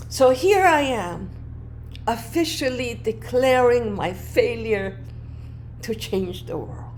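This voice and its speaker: A woman podcast host, not a native English speaker, telling her own story in a reflective, earnest way, moving between emphatic lines and hushed ones. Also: medium pitch, slight background noise. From moved to Canada as a child, not a native English speaker. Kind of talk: personal storytelling monologue.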